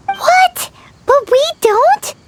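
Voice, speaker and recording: A woman's voice: very high-pitched, expressive, extremely slow, background noise, exaggerated voice. A woman voicing a tiny, blunt, childlike fairy companion in a video game. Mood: puzzled.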